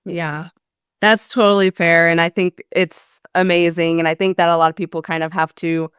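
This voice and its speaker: A young woman hosts an interview in an informal, validating style. Her voice is strikingly smooth and clean, a little on the low side, with a dry studio-like closeness. She chats casually. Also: little pitch movement, moderate pace, fairly bright tone, dry recording. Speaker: podcast host.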